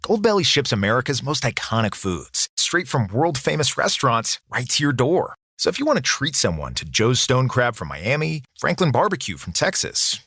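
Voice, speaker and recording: Casting: male ad reader, animated and husky, very dry close recording. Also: mid-range voice, dry recording. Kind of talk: scripted podcast ad read.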